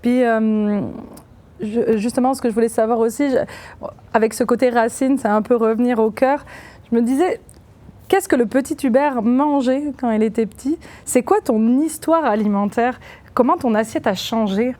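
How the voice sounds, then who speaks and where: A woman hosting a podcast interview, asking questions in a relaxed, friendly way. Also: a little high, normal speed. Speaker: podcast host.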